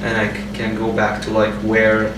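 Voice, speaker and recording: A man's voice: on the low side, monotone, normal speed. A man in his twenties from Jordan, speaking in a personal interview in a hesitant way with many restarts and fillers. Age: 20s. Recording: roomy sound from a distant mic with background hum.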